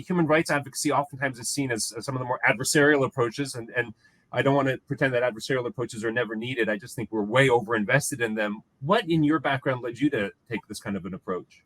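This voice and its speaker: A man's voice: medium pitch, a bit bright, rough recording. A man moderating a panel discussion, introducing guests and asking questions with frequent restarts and fillers. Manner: halting, many restarts and fillers.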